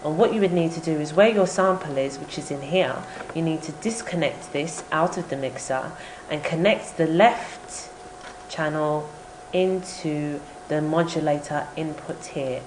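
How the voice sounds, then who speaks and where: Female tutorial presenter, low register, informal instructional delivery, slight noise behind the voice. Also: unhurried. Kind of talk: software tutorial narration. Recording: roomy echo with background noise.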